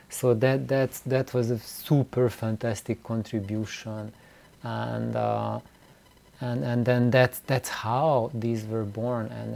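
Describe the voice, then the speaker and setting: Male vlogger, unhurried pace, soft dark tone, halting delivery, rambling, repeated words, casual hobbyist chat. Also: medium pitch, creaky. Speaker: YouTube host of a DIY loudspeaker channel. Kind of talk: casual, unscripted vlog talk. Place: small room indoors.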